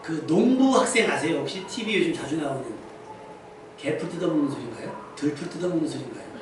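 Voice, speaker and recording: A man's voice: a little high, lively intonation, fairly quick. A male pastor preaching a Sunday sermon to a congregation, posing rhetorical questions and repeating key phrases for emphasis. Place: indoor church service. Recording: very echoey church hall, distant mic, faint noise.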